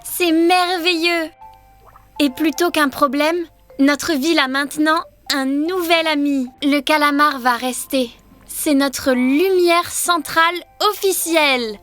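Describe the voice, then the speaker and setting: Gentle, high-voiced sea girl. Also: slow pace, soft background music. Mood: pleased. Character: gentle, gracious underwater city girl. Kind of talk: children's cartoon dub.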